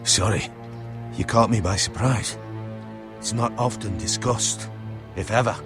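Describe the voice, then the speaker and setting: An actor playing a grave, trusting counsellor of a noble house in a fantasy game, speaking in a measured, guarded, solemn way. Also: male voice, lowish voice, over music, close to an everyday voice. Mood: secretive, grave. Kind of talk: dramatic fantasy game acting.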